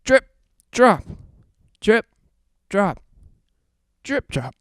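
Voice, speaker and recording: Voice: mid-range voice, sing-song, extremely slow, a bit bright, dry recording, clean studio sound, full character voice. An actor playing a frightened young broadcaster coming unravelled in a haunted house, stammering and rambling breathlessly in a 1940s radio drama style. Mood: eerie unease.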